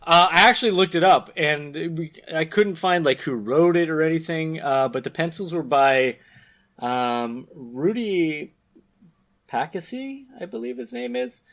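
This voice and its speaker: A man hosting a podcast with casual banter, speaking in a halting, rambling way. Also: on the high side, expressive, a bit bright. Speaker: podcast host. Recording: background noise.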